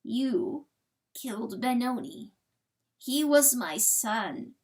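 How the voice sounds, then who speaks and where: A woman voicing a small, wounded male coyote in an animal fable, calm and forgiving, speaking softly and earnestly. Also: fairly high pitch, heavily acted. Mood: calm, certain. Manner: soft, slow, earnest whispering.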